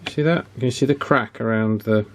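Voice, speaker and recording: A man's voice: mid-range voice, brisk, a little hiss or hum. A man working at a bench, talking casually through a radio repair as he thinks aloud, with fillers and restarts. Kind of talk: casual workbench tutorial.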